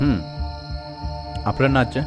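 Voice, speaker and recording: A man's voice: mid-range voice, steady tone, measured pace, lightly acted. A man voicing a teasing, easygoing young husband in a cartoon. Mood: curious. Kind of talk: Tamil cartoon dub. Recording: music bed behind.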